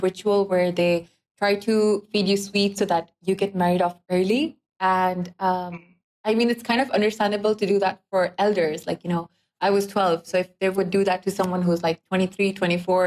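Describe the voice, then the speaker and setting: A young woman in her twenties from Dubai, guesting on a podcast. She speaks at a middle pitch. Her story comes out chatty and loose, full of little fillers and light laughs. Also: level tone, moderate pace, a little mellow, poor audio. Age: 20s. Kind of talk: personal storytelling in an interview.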